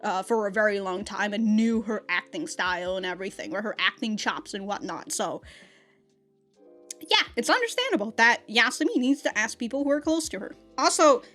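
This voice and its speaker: Woman doing a rambly reaction review, super casual, swears some, mid pitched voice, bit of music behind her. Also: animated, moderate pace, fairly bright tone. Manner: profane, slangy, laughs often while talking. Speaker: anime reaction host and reviewer. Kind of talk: casual rambling episode review, chatty commentary.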